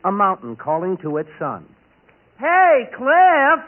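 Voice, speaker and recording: High-pitched goofy radio sound-effects man. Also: huge pitch swings, slow, heavily acted. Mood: eager, silly. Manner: showy recitation of silly gags. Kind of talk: 1940s radio comedy acting. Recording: noisy, tinny old radio recording.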